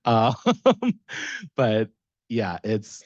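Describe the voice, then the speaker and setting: A man's voice: on the low side, fairly even intonation, very slow, dry recording, highs cut off. A young American man telling his own story informally as a panelist, in a casual, chuckly way. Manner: casual, chuckles often while talking. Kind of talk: informal personal account at a career panel.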